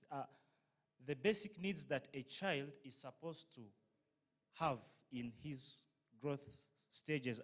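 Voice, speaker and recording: A young adult man with a Ugandan accent answers interview questions. He is a journalism student, and his voice has a medium pitch.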